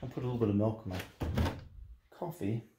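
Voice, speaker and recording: A man's voice: lowish voice, fast, roomy sound, noisy background. A man in his late twenties talking casually in a rambling vlog, with lots of fillers and restarts. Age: late 20s. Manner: meandering, many fillers and restarts. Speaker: art vlogger. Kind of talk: voice-over while drawing. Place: small apartment kitchen, indoors. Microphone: handheld camera at arm's length.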